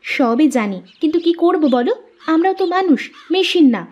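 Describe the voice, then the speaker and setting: A woman playing a put-upon young daughter-in-law in a cartoon, expressive and sharp-tongued, prone to sarcastic complaint. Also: very high voice, normal speed, muffled recording. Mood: aggrieved, sharp. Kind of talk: cartoon voice acting, fairy-tale drama.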